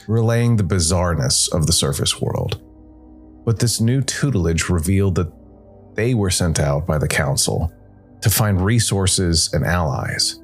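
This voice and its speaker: A man hosting and narrating a scripted story podcast in a measured, deliberate storytelling manner. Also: deep voice, husky.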